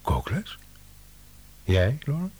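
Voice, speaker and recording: An actor playing a despondent male author with writer's block in a Dutch radio play, halting and gloomy. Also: medium pitch, huge pitch swings, darkish tone, a little hiss or hum. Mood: gloomy, surprised.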